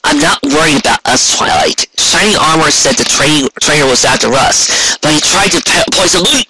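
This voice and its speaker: A man's voice: on the high side, animated, normal speed, voice pushed up, lightly acted. Man voicing a brash pegasus mare, noisy recording. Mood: uneasy, urgent. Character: brash, distrustful young female pegasus pony guard. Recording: clearly noisy amateur recording with clicks.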